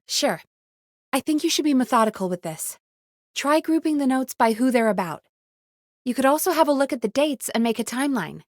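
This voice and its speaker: High-voiced earnest young radio producer woman. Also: no room sound, clean studio sound. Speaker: voice actor in a video game. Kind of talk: naturalistic video game voice acting.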